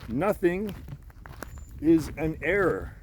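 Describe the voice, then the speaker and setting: Man, slightly high voice, frustrated insistent monologue, halting repetitions, casual swearing, faint background noise. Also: very animated. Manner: frustrated, insistent, profanity-laced; halting, repeats words and restarts. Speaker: amateur theorist making videos. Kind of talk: rambling unscripted monologue.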